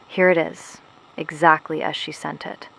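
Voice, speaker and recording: Woman playing resistance radio host, slightly low female voice, solemn, measured, reflective, dramatic scripted narration. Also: little pitch movement, vocal fry, a bit bright, exaggerated voice, low-quality recording. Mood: solemn, respectful.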